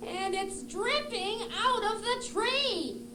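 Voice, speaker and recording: Very high, curious squirrel puppet. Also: female voice, expressive, heavily acted. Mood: puzzled, worried. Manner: exclaiming, questioning, drawn-out delivery. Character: curious, excitable young squirrel puppet, full of questions. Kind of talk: children's puppet show acting. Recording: roomy, echoing, noisy live puppet show.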